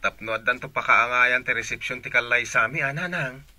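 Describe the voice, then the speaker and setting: Bright, forceful, eager grown son. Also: male voice, medium pitch, a little fast. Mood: eager, insistent. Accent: Filipino, Ilocano. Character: eager, insistent grown son planning his wedding. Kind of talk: Ilocano radio drama acting.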